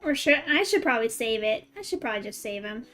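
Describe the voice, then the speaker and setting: Female game streamer, high voice, informal and chatty, thinks aloud to viewers, faint music underneath. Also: sing-song, fast, fairly bright tone. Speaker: game livestreamer. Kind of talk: casual livestream chat with viewers while playing.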